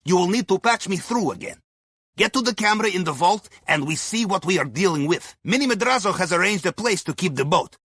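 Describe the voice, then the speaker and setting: Cheerful Russian submariner, high bright voice, wry clipped phrasing, game mission briefing. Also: male voice, expressive, fairly quick, breathy edge, dry recording, heavily acted. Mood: businesslike, urgent. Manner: wry, clipped phrasing with dropped articles and tag questions. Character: wry, cheerful Russian submarine captain's mate and heist planner. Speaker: mission guide.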